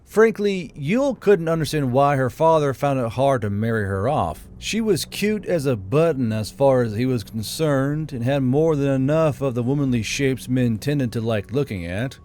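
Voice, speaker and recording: A man's voice: medium pitch, expressive, moderate pace, few pauses, darkish tone, slight background noise. A man reading scripted fantasy fiction aloud as an audiobook narrator.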